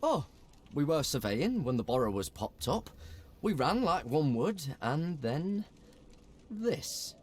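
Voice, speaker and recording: A man playing a cheeky, excitable young cleric in a fantasy video game. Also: a little high, slow pace, heavily acted. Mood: sheepish.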